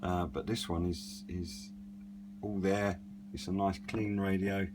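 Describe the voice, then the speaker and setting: A man's voice: low-pitched, slow pace. A middle-aged British man giving a casual, unscripted product demonstration in a hesitant, understated, meandering way. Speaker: radio hobbyist and reviewer. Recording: clearly noisy with a steady hum.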